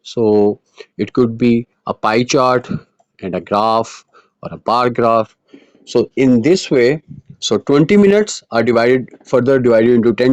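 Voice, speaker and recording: A male IELTS tutor whose first language is Urdu explains writing tasks in an earnest, patient way, often pausing on "uh" and restarting his sentences. His voice sits at a middle pitch. The recording is dry and close, with no room sound. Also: a little mellow, dry recording, muffled recording. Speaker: IELTS teacher. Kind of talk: online lesson monologue.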